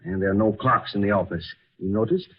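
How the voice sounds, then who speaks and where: An actor playing a courteous, secretive businessman with a halting, gently insistent manner in an old-time American radio drama. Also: lowish voice, husky. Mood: wistful, brooding. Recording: muffled, tinny old radio recording.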